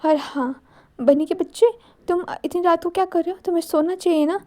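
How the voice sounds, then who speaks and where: A woman's voice: extremely high pitch, a little fast, warm tone, pitched above the performer's own voice. A woman voicing a childish, clingy young woman who talks about herself like a little child. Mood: teasing. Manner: babyish, speaks of herself by name.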